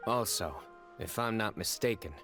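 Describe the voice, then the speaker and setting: A male actor playing a stern, methodical investigator in a video game, measured, serious and deliberate. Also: fairly low pitch, sharp tone, faint music behind.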